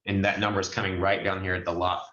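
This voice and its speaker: Male online trainer, lowish pitch, informal step-by-step explainer, muffled call sound. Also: level tone, fast talker, bright, forceful tone, reverberant. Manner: explanatory, step-by-step, addresses listeners directly. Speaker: notary trainer and signing agency presenter. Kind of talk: informal screen-share training walkthrough. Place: indoors on a group video call.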